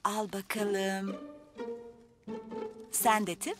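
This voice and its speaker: A woman playing a gentle, encouraging mother of young twins in a dubbed children's TV drama. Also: medium pitch, level tone, drawn-out words. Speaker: actor in a dubbed children's show. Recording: music underneath.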